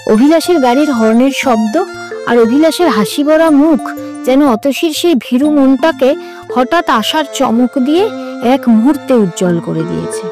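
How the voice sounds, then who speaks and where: A woman reading a literary story aloud in a dramatic, expressive narrator's style. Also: sits high, measured pace. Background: music.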